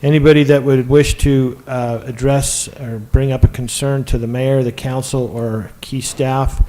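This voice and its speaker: Guy running a council meeting, formal procedural talk, normal pitched voice. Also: level tone, measured pace, warm tone, low-quality recording.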